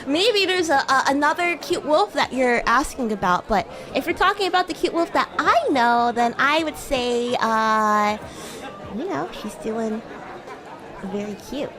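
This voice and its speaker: A woman plays a bratty young demon schoolgirl in a livestream roleplay. Her high voice swings up and down at an unhurried pace as she teases, stammers and gets defensively haughty. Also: lively intonation, crowd noise. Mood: hesitant, evasive. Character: bratty, flustered young female demon classmate, playfully haughty. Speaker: VTuber streamer.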